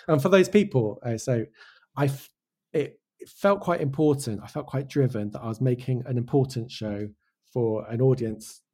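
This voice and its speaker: A man with an English accent, from Wales, giving casual, reflective interview answers as a show creator, often halting and restarting with real conviction. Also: mid-range voice, dark tone, slightly rough. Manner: halting, self-interrupting, passionate about own work.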